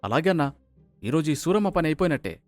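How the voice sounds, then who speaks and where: A man performs a loyal henchman in a storybook crime plot. He is eager to serve his boss, with soft music behind the voice. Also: on the high side, big pitch swings, fast.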